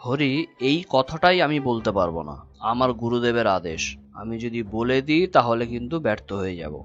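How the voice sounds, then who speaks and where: A man's voice: medium pitch, sing-song, a little fast, a bit bright, lo-fi sound. Man acting a grave ghost-hunter leader, music behind. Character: resolute, secretive male ghost-hunting tantric leader. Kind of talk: animated horror story dialogue.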